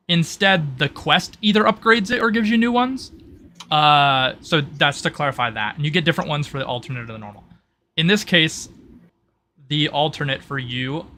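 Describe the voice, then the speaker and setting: A man working as game master, explaining tabletop roleplay rules in a halting way, thinking aloud. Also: on the high side, sharp tone, slight background noise.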